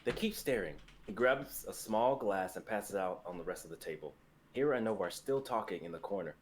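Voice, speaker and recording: Low-voiced young man narrating fantasy. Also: lively intonation, a little fast, slight background noise. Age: young adult. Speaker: narrator of a livestreamed script reading. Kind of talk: scripted dramatic narration.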